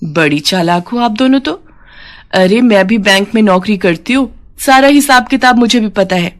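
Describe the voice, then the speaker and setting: A woman's voice: medium pitch, expressive, moderate pace, natural voice. A woman voicing a proud working daughter-in-law, indignant and childishly argumentative, in a family story.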